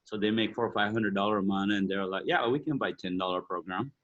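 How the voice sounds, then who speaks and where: Fast-talking earnest man, bright voice. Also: on the low side, highs cut off. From India or Burma. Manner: quick, earnest, broken English with many "you know"s. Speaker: martial arts school owner. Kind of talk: casual interview talk.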